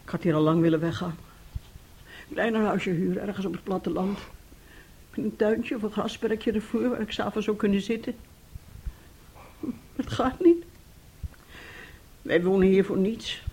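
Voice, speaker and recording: A middle-aged mother in a radio drama, worn down and bitter. Her voice is husky, and she speaks with theatrical, confiding weariness, full of despair at her unhappy household. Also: mid-range voice, normal speed, pauses often, warm tone, low-quality recording. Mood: weary, confessing. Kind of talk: Dutch radio play acting.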